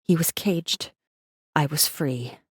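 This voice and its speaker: A woman playing a guarded, secretive rebel messenger who narrates an audiobook in an intense, measured first-person style. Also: low voice, breathy edge, no room sound, studio quality, full character voice. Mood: tense, wary.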